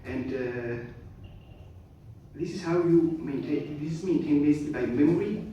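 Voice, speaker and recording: Man, halting formal speech, echoey hall. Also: a little high, dark tone, muffled recording. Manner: halting, many hesitations and restarts. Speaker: guest speaker. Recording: echoey hall, distant mic, faint noise.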